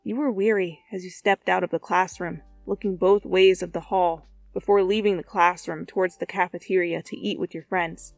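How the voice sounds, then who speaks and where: A woman reading fan fiction aloud as an expressive second-person story narration. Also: on the low side, moderate pace, vocal fry, hardly any pauses, no echo, faint music behind.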